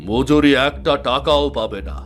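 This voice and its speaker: A man's voice: mid-range voice, moderate pace, faint music behind, natural voice. A man voicing an angry, domineering landlord in a cartoon folktale, scolding in an imperious way.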